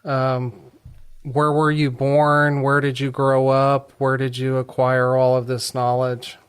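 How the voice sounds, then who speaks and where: Smooth, even-voiced male radio host. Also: mid-range voice, slow. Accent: Texan. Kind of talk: radio talk show hosting.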